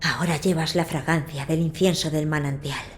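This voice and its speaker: A woman playing a gentle, resolute young noble boy in a dubbed video game, speaking softly in a measured, formal way. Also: low-pitched, steady tone, a little hiss or hum, natural voice.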